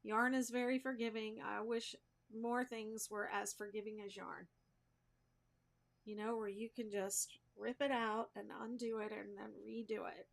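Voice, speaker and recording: Casual woman with a creaky voice. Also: a little high, level tone, unhurried. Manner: informal, self-deprecating, thinks aloud. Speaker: crochet livestream host. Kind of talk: casual rambling chat with viewers while crafting.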